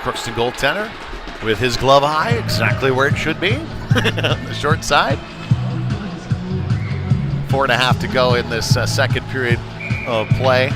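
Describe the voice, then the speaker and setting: A man's voice: mid-range voice, lively intonation, unhurried, pauses often. A man doing live play-by-play hockey commentary as the announcer, dropping conversational asides between calls. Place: ice rink, live at the game. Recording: live rink broadcast with music behind. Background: music over venue speakers.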